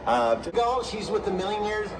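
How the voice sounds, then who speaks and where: Man on balcony, chatty intro, noise and traffic behind. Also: sits high. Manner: slow, chatty introducing guests. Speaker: event attendee vlogging. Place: outdoor condo balcony in a city.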